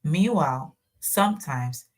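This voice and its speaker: A woman's voice: deep voice, lively intonation, measured pace. A woman from Ghana, a podcast guest, talking in a reflective way and telling personal stories. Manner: reflective, tells personal anecdotes. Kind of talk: conversational storytelling.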